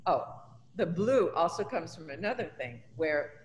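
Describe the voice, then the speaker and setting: A woman's voice: lowish voice, sing-song, normal speed. An older woman from Tunisia speaks in a conversational, reflective interview style. Her voice rings in a reverberant studio room, with faint noise behind it. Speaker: artist being interviewed. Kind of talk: conversational personal recollection. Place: indoors in an art studio.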